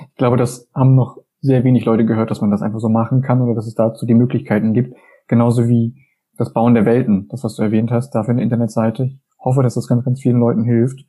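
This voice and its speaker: A man's voice: mid-range voice, mellow, slightly rough. A man interviewing an author, friendly and encouraging in a casual conversation.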